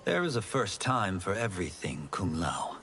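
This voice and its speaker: A man playing a humble, earnest young warrior monk in a video game, speaking formally and respectfully with quiet determination. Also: sits low, very animated, moderate pace, heavily acted. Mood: earnest, determined. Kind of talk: video game cutscene acting. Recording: very loud cinematic music behind.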